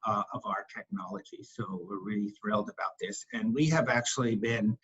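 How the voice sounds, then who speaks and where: A husky man speaking as a company executive, answering questions on an investor call in a halting way, repeating words for emphasis. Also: lowish voice, animated, fairly bright tone. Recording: dull, lo-fi conference call audio.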